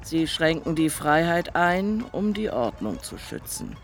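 A woman's voice: deep voice, sing-song, a little slow, faint music behind. A woman playing a composed storyteller, narrating a literary radio play from a script. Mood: sober narrating.

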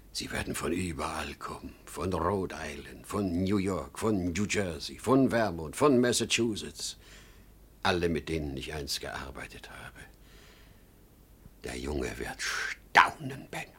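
Worn-out but proud old salesman, low husky voice, volatile delivery from hush to outburst, 1950s radio drama. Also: exaggerated voice. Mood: rapt, hushed. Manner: pleading and insistent, swinging from whisper to outburst.

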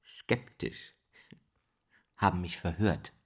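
A man's voice: deep voice, takes it slowly, husky, heavily acted. An actor playing a distressed young male teacher in an intimate drama dialogue, gloomy, terse and irritable. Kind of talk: intimate radio play dialogue.

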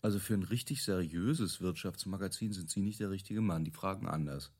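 Low-voiced, self-assured businessman. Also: fairly quick, a little mellow. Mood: cool. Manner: terse, assertive, jargon-heavy. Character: self-assured, cool businessman giving an interview. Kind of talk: naturalistic radio drama dialogue.

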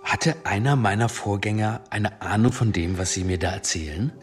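A man's voice: on the low side, normal speed, faint music behind, natural voice. An actor playing a male senior police chief in a radio drama, coolly authoritative, questioning in a dry, clipped, reproving way. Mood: probing. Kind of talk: German radio drama dialogue.

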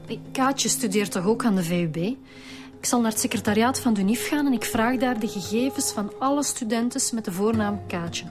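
A woman with a Flemish accent playing an eager, persistent police detective in a radio drama, brisk and insistent. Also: fairly high pitch, close to an everyday voice. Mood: alert, eager. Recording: music behind the voice.

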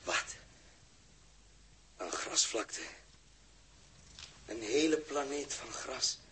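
An actor playing a lone, dazed but dutiful male astronaut, halting and repeating phrases in a 1960s Dutch radio drama monologue. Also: medium pitch, lively intonation, pushed and bright, exaggerated voice. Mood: lonely awe. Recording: noisy early-1960s radio drama recording.

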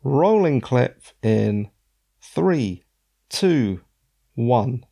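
A middle-aged man hosting a podcast with a hoarse, croaky voice, chatting in a digressive, self-deprecating way. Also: mid-range voice, expressive, drawn-out words, warm tone. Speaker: podcast host. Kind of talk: casual podcast commentary.

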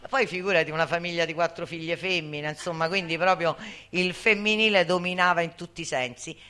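A woman's voice: on the low side, steady tone, brisk, bright, forceful tone. An older woman speaking informally and passionately to others, emphatic and often seeking agreement with tag questions. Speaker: guest speaker, veteran activist. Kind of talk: impassioned informal public talk.

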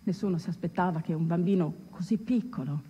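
A woman actor delivering a theatrical storytelling monologue, phrasing deliberately and dramatically with pauses. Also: on the low side, dark tone, highs cut off, a little hiss or hum. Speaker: stage actress narrator. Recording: echoey reverberant hall, music underneath. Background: music.

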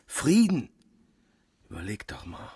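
A man's voice: mid-range voice, wildly swooping pitch, slow, dark tone, a little hiss or hum. An actor in a German radio drama playing an earthy, homesick older soldier and family man, blunt and homely. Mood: longing.

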